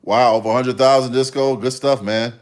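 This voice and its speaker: Man, fifties, medium-pitched voice, casual livestream host, rambling, repetitive phrasing. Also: steady tone, a little fast. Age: 50s. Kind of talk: casual livestream chat replying to viewers.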